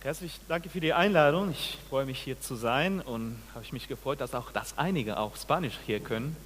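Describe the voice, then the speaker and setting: A man in his forties from Peru, a pastor giving a sermon, speaking haltingly with many restarts and questions to the congregation. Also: medium pitch, a bit bright, long reverb tail, a little hiss or hum. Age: 40s. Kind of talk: sermon with personal testimony. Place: church.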